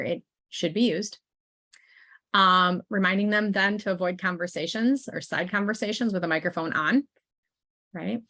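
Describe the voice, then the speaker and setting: A woman's voice: on the low side, gravelly, a bit bright. A woman presenting an online training as a consultant, talking informally with frequent "um"s and asides to the audience. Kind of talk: conversational webinar presentation.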